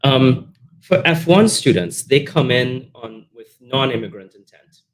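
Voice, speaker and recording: A man's voice: medium pitch, animated, unhurried, rough recording. A man who works at a university, calling in to ask a question in a hesitant way with restarts. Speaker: caller, university staff. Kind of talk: phone-in question.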